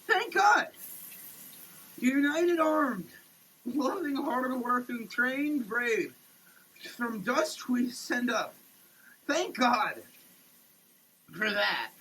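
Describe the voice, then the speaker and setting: A mid-pitched voice reads slowly and theatrically, declaiming in halting pieces and repeating words. The recording is noisy, made in a running shower. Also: very animated, sharp tone. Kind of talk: dramatic, theatrical reading of a product label.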